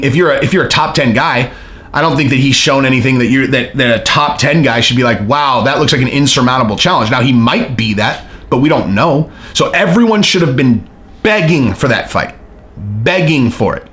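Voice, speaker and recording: Animated American man ranting. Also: medium pitch, brisk. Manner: animated, emphatic, repeats points, swears. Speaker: MMA commentary channel host. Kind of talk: casual opinion rant.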